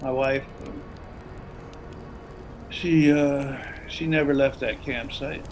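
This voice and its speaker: A man's voice: mid-range voice, fairly even intonation, takes it slowly, darkish tone, close to an everyday voice, low-quality recording. A man playing an older, folksy retired salesman and widower in a zombie drama table read. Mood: wistful, sad. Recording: video-call read over music.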